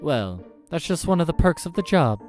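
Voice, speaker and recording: A man's voice: fairly high pitch, big pitch swings, moderate pace, a little mellow, faint music behind, voice pushed up. A man voicing a chatty, doting surgeon boyfriend in a visual novel game, fond and cheerfully patient. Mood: cheerful, proud.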